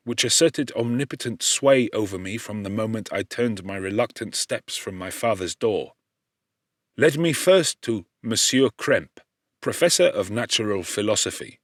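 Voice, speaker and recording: A man's voice: fairly low pitch, animated, a little slow, no echo. Man reading a classic novel, dry close sound. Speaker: audiobook narrator. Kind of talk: scripted literary narration of a classic novel.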